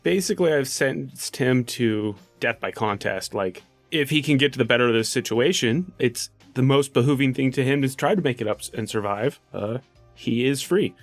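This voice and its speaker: Male game player: medium pitch, dry close recording, wry casual banter. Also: animated, moderate pace, no room sound, faint music behind. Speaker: player in a tabletop game podcast. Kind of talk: casual, joking game chat.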